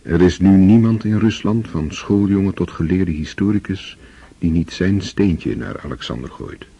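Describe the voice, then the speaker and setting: Deep, dark-voiced male narrator, lo-fi. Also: normal speed, breathy edge. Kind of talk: scripted literary narration. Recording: dull lo-fi old radio recording.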